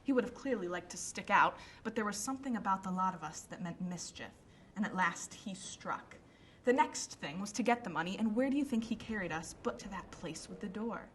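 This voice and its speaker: A woman's voice: mid-range voice, lively intonation, a little fast, a little hiss or hum, lightly acted. A woman playing a well-known Victorian lady about town, recounting events dramatically in a radio drama. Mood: confiding. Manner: dramatic storytelling, emphatic.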